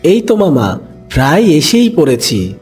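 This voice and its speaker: A man's voice: on the high side, wildly swooping pitch, a little slow, darkish tone, breathy edge, faint music behind, full character voice. A man voicing a kind, brave and clever young duckling in a cartoon animal fable, earnest and coaxing.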